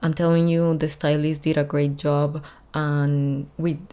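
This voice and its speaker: Slow-talking low-voiced woman vlogger. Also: flat delivery, dark tone. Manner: chatty, many fillers like "like" and "honestly". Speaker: fashion designer and YouTube host. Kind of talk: casual reaction commentary.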